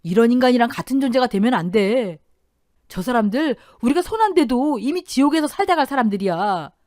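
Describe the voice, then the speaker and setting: A gentle husband pleading quietly with his wife to stop, voiced by a woman narrator. The voice stays fairly high and animated, recorded close and dry. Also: brisk, no echo, natural voice. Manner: calm, pleading. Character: gentle steadying husband, man.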